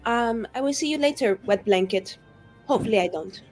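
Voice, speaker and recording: A woman's voice: fairly high pitch, fairly quick, darkish tone, soft background music. A woman playing a tabletop role-playing game, talking casually in and out of character. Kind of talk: casual in-character play and narration.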